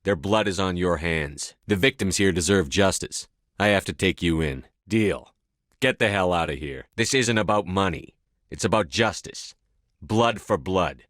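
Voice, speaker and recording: A man's voice: deep voice, unhurried, a bit bright, no room sound, studio quality. A man acting a stern, resolute space soldier in a video game, with a blunt, authoritative, clipped delivery. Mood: accusing, resolute.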